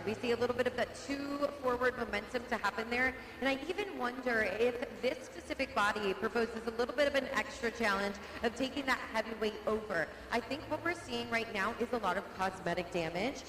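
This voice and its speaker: A woman working as trackside reporter, explaining a truck rollover in measured live analysis. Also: on the high side, animated. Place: indoor arena. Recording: echoey arena PA with crowd noise.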